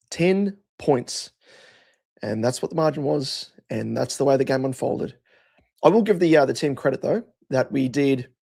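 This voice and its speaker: An Australian man with a croaky voice, hosting a fan show and giving casual, rambling post-match commentary with hesitant pauses and repeated phrases. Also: medium pitch, darkish tone. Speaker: host of a football fan show.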